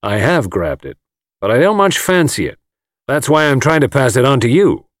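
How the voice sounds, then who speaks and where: A man's voice: medium pitch, sing-song, normal speed, no echo, clean studio sound, pitched above the performer's own voice, lightly acted. A man voicing a blunt, bantering male adventurer talking like an old friend in an audiobook. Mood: persuasive. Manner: blunt, chummy. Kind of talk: audiobook character voice.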